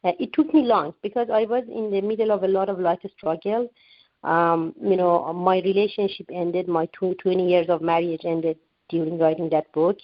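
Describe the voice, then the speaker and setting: A woman's voice: on the low side, fairly even intonation, moderate pace, dry recording. Woman, 40s, from Iran, earnest radio guest, restarts a lot, dull dry phone sound. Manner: earnest, many restarts and fillers. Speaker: guest author. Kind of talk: radio interview answers. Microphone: phone line.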